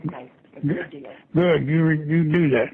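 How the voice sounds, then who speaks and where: A man's voice: fairly high pitch, fairly even intonation, slow, narrow, tinny audio. An older man speaking on the telephone in a curt, plain-spoken way. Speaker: prank call recipient. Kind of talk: phone conversation.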